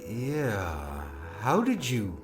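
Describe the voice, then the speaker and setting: A man's voice: mid-range voice, huge pitch swings, drawn-out words, music in the background, full character voice. A man voicing an earnest young male student who speaks plainly and questioningly, a little exasperated, in an audiobook. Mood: puzzled.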